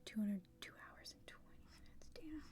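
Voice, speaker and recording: A young woman chatting casually and rambling on a livestream while drawing, swearing freely, her voice a little rough and raspy from talking for a long time. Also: moderate pace, darkish tone, in a whisper. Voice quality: slightly raspy. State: tired, sore throat from long talking. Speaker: art streamer.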